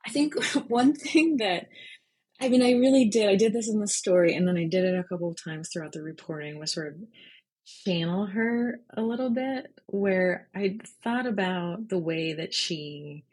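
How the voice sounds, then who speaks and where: A woman with a slightly husky voice, speaking thoughtfully and informally as an interview guest. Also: mid-range voice, animated, moderate pace, darkish tone. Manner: thoughtful, informal, explains step by step. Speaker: podcast guest, freelance journalist. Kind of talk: reflective interview conversation.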